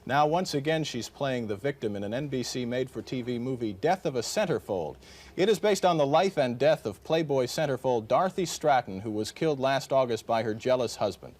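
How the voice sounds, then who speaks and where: A male TV host with a very lively, rising and falling voice. He delivers lines in a polished broadcast style. His pitch sits in a middle register. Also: brisk. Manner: formal broadcast delivery, then easy questioning. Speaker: TV news host. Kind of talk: morning show interview.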